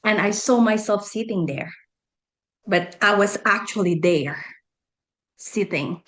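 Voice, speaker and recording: A woman's voice: mid-range voice, slow pace, a bit bright. Middle-aged Filipino woman telling her story. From Philippines. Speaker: podcast guest, energy healer and life coach. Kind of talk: conversational personal storytelling.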